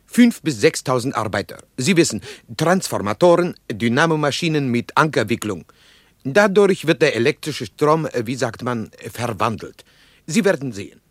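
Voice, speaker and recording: A man plays a nervous Russian interpreter in a radio drama, showing visitors around. He hunts for words and explains in short, halting bursts. His middle-pitched voice swings animatedly, close and dry. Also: animated, no echo, natural voice. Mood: nervous, explaining. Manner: halting, word-searching explanations in clipped phrases. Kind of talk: radio play acting.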